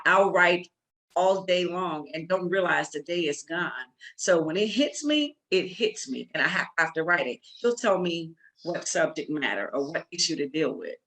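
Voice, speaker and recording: Woman guest, low pitch, bright forceful tone, emphatic, conversational, repeats herself. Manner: emphatic, repeats phrases, acts out her own thoughts. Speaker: guest, playwright. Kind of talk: conversational interview answers.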